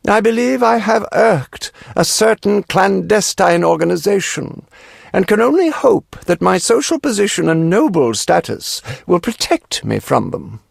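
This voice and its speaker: A man's voice: high voice, big pitch swings, measured pace, dry recording, clean studio sound, full character voice. An actor playing a pompous, fussy nobleman in a video game, with formal, self-important speech. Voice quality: creaky.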